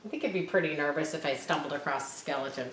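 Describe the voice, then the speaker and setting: A woman reading a story aloud to listeners, stopping to explain words and details in an explanatory way. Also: deep voice. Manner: explanatory, checking understanding, pausing to clarify words. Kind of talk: read-aloud storytelling with teaching asides. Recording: echoey room with faint background noise.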